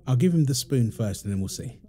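Man acting as mellow, playful doting young dad. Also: medium pitch, animated, fairly quick, natural voice. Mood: gentle, coaxing. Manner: teasing, affectionate, laughing easily. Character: playful, doting young father feeding his baby son. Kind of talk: ASMR roleplay, improvised casual talk.

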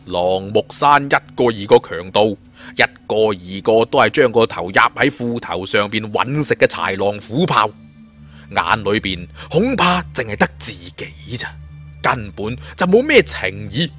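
Animated male storyteller over music. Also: on the low side, measured pace. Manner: theatrical, expressive storytelling. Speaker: audiobook storyteller. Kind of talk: dramatic scripted narration of an adventure tale.

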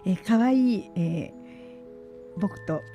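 A woman's voice: mid-range voice, animated, extremely slow. A middle-aged woman hosting live community radio, announcing and interviewing in polite, conversational phrasing. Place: small community radio studio. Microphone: studio boom microphone with headset headphones. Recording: soft background music behind studio voice. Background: faint music.

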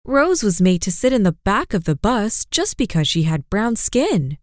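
A woman's voice: mid-range voice, big pitch swings, normal speed, dry recording, clean studio sound, close to an everyday voice. A woman voicing an eager, cheerful little girl in a children's story.

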